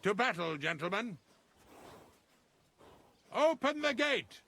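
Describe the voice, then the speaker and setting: An actor playing a boastful, wine-loving lord chamberlain in a game cutscene, hearty, pompous and overconfident. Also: male voice, high voice, very animated, slow pace, noisy background, heavily acted. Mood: commanding, hearty. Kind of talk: video game cutscene acting.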